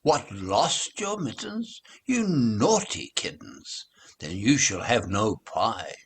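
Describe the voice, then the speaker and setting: A man's voice: lowish voice, very animated, slow, creaky, a bit bright, breathy edge, full character voice. A man voicing a storybook mother cat who exclaims at her kittens in a sing-song, motherly scolding way.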